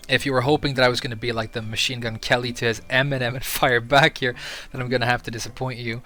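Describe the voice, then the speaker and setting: A man's voice: medium pitch, sharp tone. A young man from Sweden talking candidly to camera as a video creator, earnest and a little hesitant with fillers. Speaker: YouTuber. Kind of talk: casual talk to camera.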